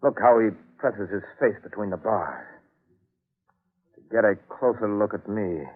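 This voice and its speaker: A man's voice: fairly low pitch, unhurried, breathy edge. A man playing an edgy, hot-headed explorer husband in an old-time radio drama, dramatic and clipped. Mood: intense, agitated. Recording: narrow, lo-fi old radio recording.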